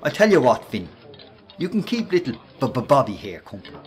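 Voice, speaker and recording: A man's voice: mid-range voice, very animated, fairly quick, natural voice. A man acting in a radio drama as a mocking male workplace bully, with a taunting manner. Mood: jeering. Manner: taunting, mimics stammers. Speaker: actor in a radio play.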